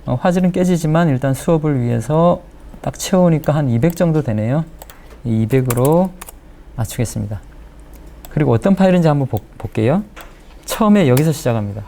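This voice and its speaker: A man, giving a step-by-step software tutorial and repeating phrases as he explains. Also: fairly high pitch, animated, fairly quick, a little hiss or hum. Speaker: video editing instructor. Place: indoor lecture room in front of a large screen. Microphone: lapel mic.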